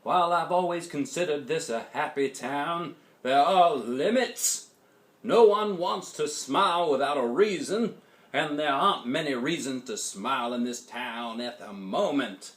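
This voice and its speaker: A man voicing an earnest small-town pastor giving an oratorical, measured speech in a storybook. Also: fairly high pitch, a bit bright, reverberant. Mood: solemn, rousing.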